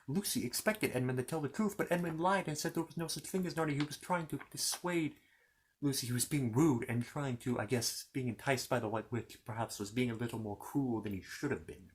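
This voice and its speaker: Rambling male ASMR storyteller. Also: medium pitch. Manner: rambling, improvised, with asides and repeated phrases. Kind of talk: ASMR retelling of a children's fantasy story.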